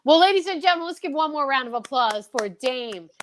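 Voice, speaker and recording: Teasing, high-voiced woman hosting over faint hiss. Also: animated, fairly quick. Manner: teasing, showy, announcer-like asides to the audience. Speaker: host. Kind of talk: casual online chat show banter.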